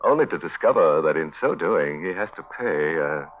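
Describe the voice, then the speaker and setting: A narrowband vintage radio recording with music carries a very deep male voice. He is a horror host in 1940s style, sardonic and playfully macabre. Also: lively intonation, normal speed, a little hiss or hum.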